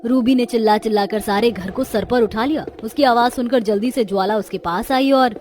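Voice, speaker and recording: High-voiced woman narrating a cartoon tale. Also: brisk, darkish tone, soft background music. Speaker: story narrator. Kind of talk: scripted dramatic cartoon narration.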